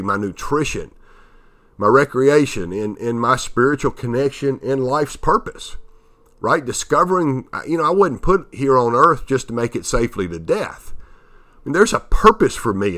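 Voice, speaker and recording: A man in his fifties from Tennessee hosts a motivational podcast in a bright, ringing voice. His nose is a little stuffed up from allergies. He stops and starts often, filling the gaps with "uh" and repeated words. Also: mid-range voice. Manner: halting, with many fillers and restarts. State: nasal from allergies. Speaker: podcast host.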